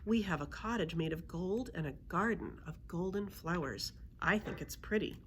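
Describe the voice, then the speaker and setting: A woman's voice: lowish voice, normal speed, a little hiss or hum, close to an everyday voice. A woman voicing an earnest, loyal young village girl in a children's storybook, eager and pleading. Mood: cheerful.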